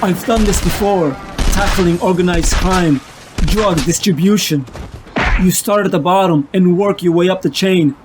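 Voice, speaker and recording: A man's voice: sits high, a little slow, music in the background. A man playing a tormented, ailing vigilante losing his sanity, narrating his story as a brooding, confessional monologue. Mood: grimly determined. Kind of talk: dramatic first-person comic narration.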